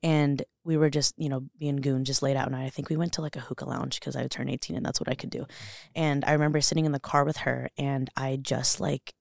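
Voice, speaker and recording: A woman's voice: extremely low pitch, level tone, a little fast, vocal fry, no echo, studio quality. A young adult woman, a podcast guest, speaking earnestly and emotionally, with many fillers and restarts. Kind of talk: candid interview conversation.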